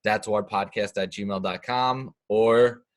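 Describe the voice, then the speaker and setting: A man's voice: fairly low pitch, fairly even intonation, measured pace. A man co-hosting a podcast, chiming in with brief, dry teasing remarks. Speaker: podcast co-host. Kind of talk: casual podcast chat.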